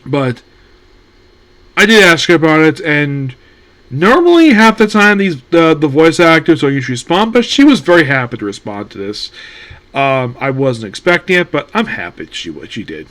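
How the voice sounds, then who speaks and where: A man with a slightly high voice talks through anime news in a loose, meandering way. He stops and restarts often, filling gaps with ums and uhs while he thinks. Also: big pitch swings, moderate pace, fairly bright tone. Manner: rambling, many fillers and restarts. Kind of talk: casual solo commentary.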